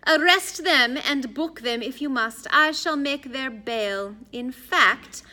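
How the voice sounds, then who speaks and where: A woman voicing an imperious female crime boss in an audiobook, commanding, deliberate and coolly efficient. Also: high-pitched, pushed and bright, pitched above the performer's own voice, full character voice.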